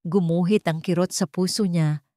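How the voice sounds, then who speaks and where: A woman's voice: fairly low pitch, steady tone, normal speed, warm tone, no room sound, clean studio sound. A woman with a Filipino accent reading a scripted dramatic story as its narrator.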